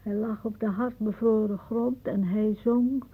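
A husky older aunt speaks through a narrow, phone-like old radio recording. She is wry and musing, a dramatic woman with death on her mind. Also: a little high, fairly even intonation, unhurried. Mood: anxious. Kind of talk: radio play acting.

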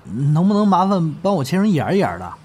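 A man playing an eager, chatty young crosstalk comedy hopeful in a Chinese audio drama, speaking colloquial with expressive delivery. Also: on the high side, rapid-fire, a little hiss or hum. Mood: polite, friendly.

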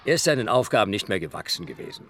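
An actor playing an earnest, formal parent council official in a radio drama, speaking carefully. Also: male voice, on the low side, very animated, rapid-fire, fairly bright tone, heavily acted. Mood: defensive, earnest.

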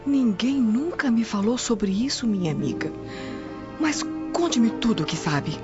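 A woman's voice: fairly high pitch, animated, measured pace. A woman playing a virtuous, anxious Roman wife in a radio drama, polite but distressed. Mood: anxious curiosity. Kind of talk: Brazilian radio drama acting. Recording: muffled radio drama with music.

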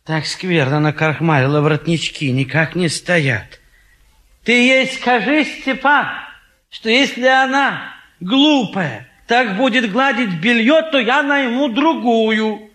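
An actor playing a hesitant, fussy bachelor civil servant in a classic Russian stage comedy, grumbling and dithering. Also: male voice, high-pitched, slow pace, a bit bright, full character voice.

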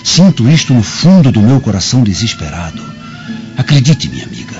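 A Brazilian man acting a vengeful, desperate conspirator in a radio drama, with measured, solemn phrasing. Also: medium pitch, wildly swooping pitch, a little mellow. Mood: bitter, impassioned. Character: vengeful desperate male conspirator against Roman masters. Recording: muffled lo-fi audio, music underneath.

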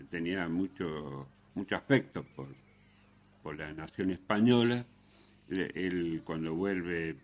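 A very deep-voiced man with an Argentine accent speaks as a radio host. He delivers his commentary haltingly, circling back to repeat words and restart phrases. He often closes a thought with a brief questioning tag. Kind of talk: spoken radio commentary on music and culture.

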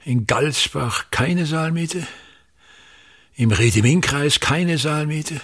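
A man's voice: on the high side, slow, a bit bright. An actor in a radio drama playing a pompous, domineering older theatre director and father who delivers grandiose, pedantic, repetitive monologues. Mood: bitter, contemptuous.

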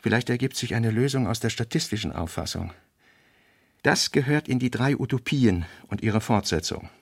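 A man's voice: medium pitch, lively intonation, normal speed, creaky, slightly rough, dry recording. Man reading literary prose in a dry studio. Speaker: narrator.

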